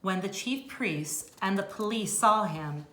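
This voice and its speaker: A woman reading scripture aloud as a lay reader, in a measured and deliberate way. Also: mid-range voice, expressive, pushed and bright. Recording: echoey room.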